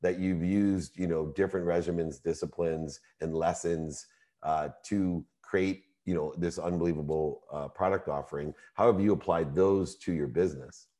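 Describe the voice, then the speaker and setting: A man hosting a podcast, asking wordy interview questions conversationally in halting phrases. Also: low voice, fairly even intonation, mellow.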